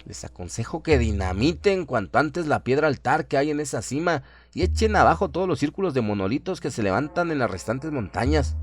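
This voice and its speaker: Un hombre que interpreta a un erudito grave que advierte con solemnidad y mesura sobre un mal cósmico, en una radionovela de terror. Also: male voice, mid-range voice, close to an everyday voice. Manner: measured, solemn, emphatic. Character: grave learned man warning villagers of cosmic evil. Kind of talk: horror radio drama acting.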